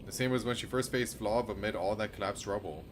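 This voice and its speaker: A man's voice: lowish voice, fast talker, background noise. A man streaming a game, reading a visual novel aloud and adding casual commentary.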